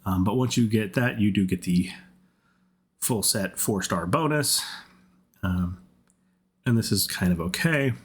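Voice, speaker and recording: Guy talking thru a game update, deep rough voice like he's been sick with colds, kinda rambly. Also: a little slow, long pauses. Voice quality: husky, rough voice. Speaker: game content creator. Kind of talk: casual gaming commentary.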